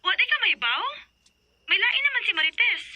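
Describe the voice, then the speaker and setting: A woman acting a brusque young woman in a radio drama, sharp and emphatic. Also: very high voice, big pitch swings, moderate pace, faint music behind, full character voice. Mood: blunt.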